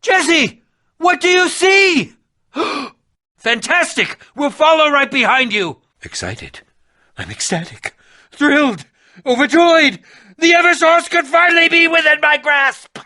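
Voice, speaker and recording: An actor voicing an excitable, eccentric, sarcastic adventurer in a video game, with a theatrical delivery that moves between muttering and exclaiming. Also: male voice, extremely high pitch, takes it slowly, no echo, clean studio sound, exaggerated voice. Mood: excited, elated. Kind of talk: animated video game voice acting.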